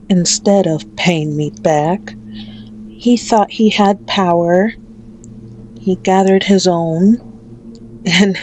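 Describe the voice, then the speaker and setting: A woman playing a sadistic, possessive female mafia boss in an ASMR roleplay, mocking and menacing, with drawn-out taunting speech. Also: a little mellow, a little hiss or hum, low-quality recording. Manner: slow, taunting, drawn-out with frequent low chuckles.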